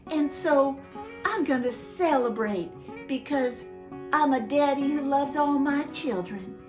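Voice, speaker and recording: A woman's voice: high-pitched, slow, music in the background. A woman putting on a joyful, exclaiming father. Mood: tender. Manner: exclaiming, laughing, breathless with joy. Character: overjoyed loving father, middle-aged man.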